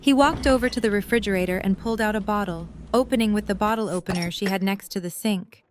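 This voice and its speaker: A woman's voice: mid-range voice, fast talker, slight background noise. A woman reading scripted story narration as the narrator of an audio drama.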